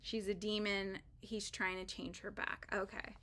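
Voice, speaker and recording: A woman's voice: mid-range voice, level tone, measured pace, fairly bright tone. A woman hosting a live crochet stream, chatting casually and rambling with frequent fillers and laughs as she works. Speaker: crochet livestream host.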